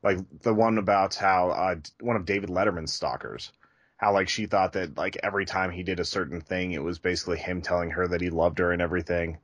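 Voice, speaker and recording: A man with a low voice and a dry, echo-free sound. He talks as a podcast co-host, rambling loosely through casual conversation. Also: fairly even intonation, a little fast, fairly bright tone, dull audio. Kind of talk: casual chat.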